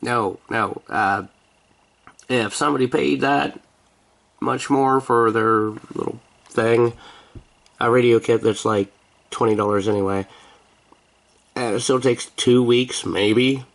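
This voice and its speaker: An American man talks casually, as if to regular viewers of his vlog. His voice sits in a middle register with a noticeable gravelly fry. He rambles along in a wry way, joking and exaggerating with some sarcasm. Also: medium pitch, steady tone, slow, long pauses, poor audio. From United States. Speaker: vlogger.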